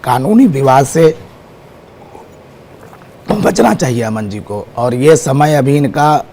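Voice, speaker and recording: A man's voice: mid-range voice, lively intonation, takes it slowly, mellow, slight background noise. A man appearing as an astrologer guest on a TV show, speaking through a sore throat as he steadily lists times and dates. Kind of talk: explaining astrology on TV. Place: TV studio.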